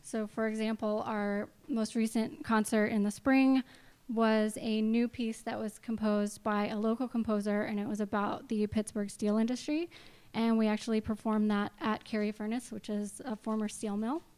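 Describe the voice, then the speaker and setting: A woman presents a project pitch for a non-profit in an informal way. Her voice is smooth and clear, sitting a bit high for a woman. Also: flat delivery, normal speed, a little mellow.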